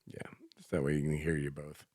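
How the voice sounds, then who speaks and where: A man with a low-pitched voice chats informally, like a host talking shop with a friend. His delivery is loose and teasing, and the sound is dry, with hardly any room to it. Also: little pitch movement, a little fast, mellow, no echo. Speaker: radio show host. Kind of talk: casual chat.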